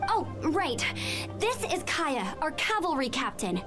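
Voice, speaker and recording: Very high, chirpy little companion. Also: female voice, very animated, a little slow. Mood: cheeky, chirpy. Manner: chirpy, dramatic. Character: tiny childlike floating companion, excitable and cheeky. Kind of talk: video game voice acting. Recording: game music behind the voice.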